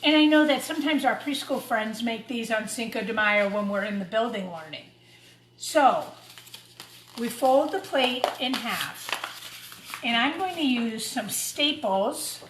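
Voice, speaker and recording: A woman teacher giving an informal craft demonstration for young children, talking through each step as she works. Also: a little high. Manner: talks through each step while working. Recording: roomy echo, clear background noise and clatter.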